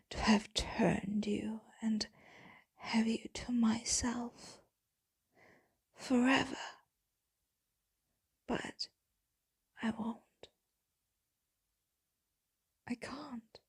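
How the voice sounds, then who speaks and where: A devoted, sorrowful vampire woman, played by a female voice actor murmurs to her lover in an ASMR roleplay. Her voice is very husky and breathy, slipping between whisper and gravelly fry. It sounds close and dry, with a tender, brooding temper. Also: on the high side, no room sound, exaggerated voice. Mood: adoring, longing, then resigned, regretful. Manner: slow, brooding, hushed delivery. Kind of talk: intimate ASMR roleplay monologue.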